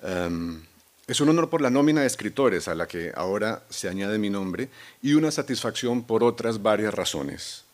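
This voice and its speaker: A man with a Colombian accent, a novelist accepting a prize, speaking in a measured, reflective way with soft hesitations. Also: on the low side, animated. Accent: Colombian Spanish. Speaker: award-winning novelist.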